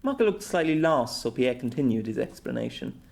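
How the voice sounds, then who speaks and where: A man's voice: mid-range voice, very animated, brisk, gravelly, a little mellow. A man reading scripted story narration as an amateur audiobook narrator.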